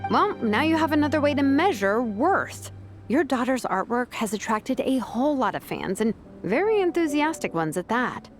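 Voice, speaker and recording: An actress playing a confident vice captain and teacher in a video game, speaking casually, diplomatically and persuasively. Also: female voice, high voice, very animated, moderate pace, faint music behind.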